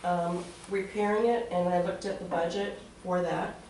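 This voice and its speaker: A woman's voice: lowish voice, steady tone, normal speed, darkish tone. A woman stands up during public comment at a council meeting and speaks earnestly, with frequent hesitations. Her voice comes from well away from the microphone, echoing heavily around the chamber under a faint layer of noise. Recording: echoey chamber, distant mic.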